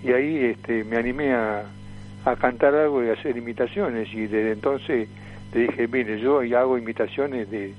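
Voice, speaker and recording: An elderly man with a Rioplatense Argentine accent reminisces as a radio guest, rambling and halting with frequent fillers. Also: mid-range voice, narrow, tinny audio, soft background music. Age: 70s or older. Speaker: guest, veteran cartoonist, impressionist and tango singer.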